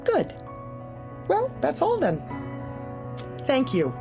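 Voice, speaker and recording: An actress playing a heartless, manipulative office manager in a dark comedy audio drama, deliberate and probing with polite menace. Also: female voice, sits high, huge pitch swings, vocal fry, music in the background, full character voice. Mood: veiled menace.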